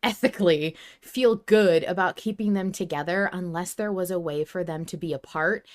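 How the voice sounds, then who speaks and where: A woman's voice: on the low side, moderate pace. Emphatic, gushing woman podcast co-host. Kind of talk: casual fan discussion.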